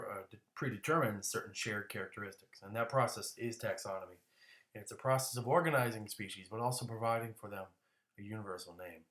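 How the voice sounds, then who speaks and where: A man's voice: fairly low pitch, fairly bright tone, roomy sound. A man teaching a classroom lecture in a casual way, often restarting words and coughing now and then.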